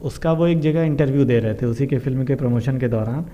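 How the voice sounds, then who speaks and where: A very dark, mellow male voice with an Indian accent, heard through a dull, lo-fi recording. He explains current affairs to viewers informally, circling back over his points and dropping in English terms. His pitch sits at an average level for a man. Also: fairly quick. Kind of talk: informal news explainer commentary addressed to viewers. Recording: dull, muffled lo-fi sound.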